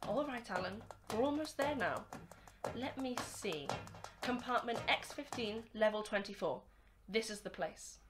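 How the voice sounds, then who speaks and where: A woman's voice: on the high side, expressive, a little slow, music in the background. A woman acting an earnest, formal young male cadet scientist in an amateur radio drama. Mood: polite, earnest.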